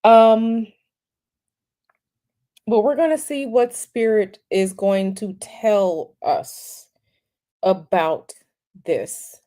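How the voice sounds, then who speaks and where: Slow, halting woman tarot reader. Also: mid-range voice, warm tone. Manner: slow, repetitive, halting with fillers. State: sniffly from a cold. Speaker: tarot reader hosting a livestream. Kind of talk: casual livestream chat.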